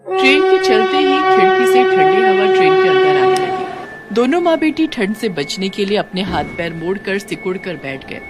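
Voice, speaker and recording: A woman's voice: sits high, lively intonation, moderate pace, loud music behind the voice. A woman narrator reading a scripted, dramatic moral story.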